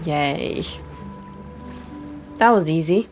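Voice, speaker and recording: Unhurried woman streamer murmuring asides. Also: deep voice, music in the background. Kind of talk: casual game livestream reactions.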